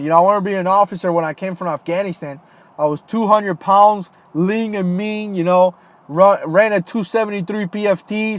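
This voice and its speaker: A man in his thirties, a former Marine, chatting casually on a livestream and reading out viewer comments, with lots of "man" and "dude". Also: high-pitched. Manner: loose, friendly, lots of fillers and "man", "dude". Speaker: livestream host, retired Marine. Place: home, Florida.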